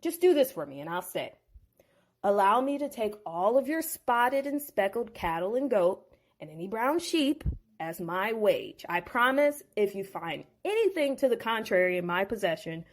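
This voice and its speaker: A woman's voice: mid-range voice, very animated, normal speed. A woman voicing Jacob, a crafty young shepherd making a casual, persuasive bargain.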